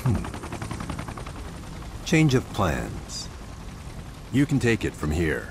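A man playing a cold, detached agent with a dry, deliberate delivery in a video game. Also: low voice, wildly swooping pitch, creaky, noisy background, heavily acted. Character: cold, unhurried, detached male agent in dark suit.

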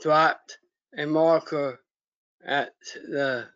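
A man reading a children's story aloud haltingly, in short phrases with pauses and stumbles, over a video call. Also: on the high side, fairly even intonation, a bit bright, no echo, lo-fi sound. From United States. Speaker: story reader.

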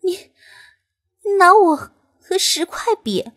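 Woman doing a shrill, petulant young beast-girl. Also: big pitch swings, slow, fairly bright tone, no echo, studio quality, full character voice. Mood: indignant. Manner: shrill, indignant outbursts.

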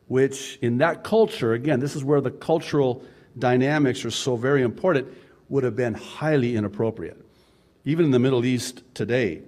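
A pastor in his fifties, a native Arabic speaker, preaching a sermon in a relaxed, conversational way with chuckling asides and frequent fillers. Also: mid-range voice.

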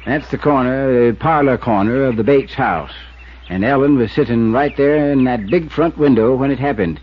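A man's voice: mid-range voice, breathy edge, close to an everyday voice. An actor playing a plainspoken, wry small-town lawman with a folksy rural American accent in a 1950s radio drama. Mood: matter-of-fact. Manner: folksy, unhurried, colloquial. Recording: dull lo-fi 1950s radio recording.

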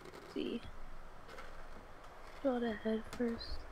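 A young woman co-hosting a casual livestreamed podcast, chatty and teasing with quick asides. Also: a little high, level tone, background noise. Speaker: podcast co-host.

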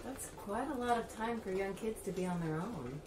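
A woman playing a brisk, frank documentary maker who directs and interviews in casual talk. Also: medium pitch, a little mellow, lightly acted. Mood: measured. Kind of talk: acted found-recording dialogue, casual. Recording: echoey room, clear background noise.

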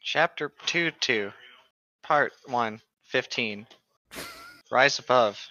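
A man's voice: medium pitch, slow pace, bright, forceful tone. A man in casual, flippant banter as a podcast co-host, heard through a lo-fi microphone. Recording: dull lo-fi microphone sound.

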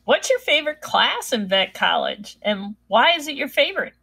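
Bright enthusiastic woman host. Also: fairly high pitch, very animated, normal speed, vocal fry, husky. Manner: enthusiastic, upbeat. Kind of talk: scripted interview questions.

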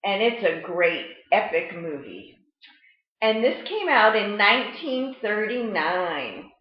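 A middle-aged American woman presenting a storytime lesson for children, explaining in a deliberate, animated way. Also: medium pitch. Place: indoor room at home, seated at a table. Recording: muffled webcam sound in echoey room.

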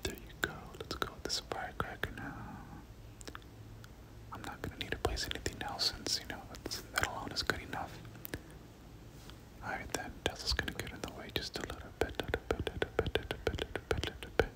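A person whispering ASMR game commentary in a breathy voice, often repeating words and short phrases over and over. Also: a little fast. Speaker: gaming video host.

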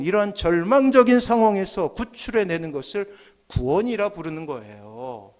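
A man in his forties from South Korea preaching a sermon as a pastor, swinging emphatically between hushed and forceful phrases, at a church pulpit microphone. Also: sits high. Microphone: gooseneck podium microphones.

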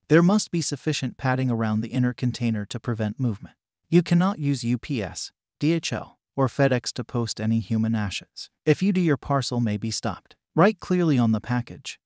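A man narrating an informational script in an even, measured reading voice, recorded close on a voice-over mic. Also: medium pitch, no room sound.

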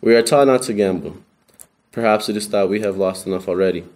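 A man recites verse in a deep register. His tone is dark and mellow, and he delivers the lines as a student reading poetry aloud. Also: fairly quick, faint music behind.

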